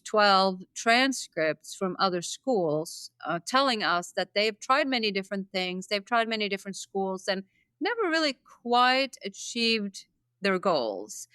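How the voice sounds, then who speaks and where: A man in his fifties from Norway, a college dean, speaking in a measured way with dry humor in a casual interview. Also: sits high, sharp tone, smooth, no echo. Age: 50s. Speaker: dean of academics.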